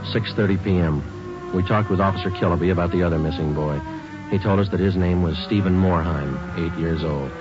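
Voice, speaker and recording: Actor as clipped, deadpan radio detective, low voice. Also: male voice, lightly acted. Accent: American. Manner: deadpan, matter-of-fact, clipped. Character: terse, businesslike male police detective narrating his case. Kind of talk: 1940s radio drama acting, clipped narration. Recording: dull old 1940s radio recording, music.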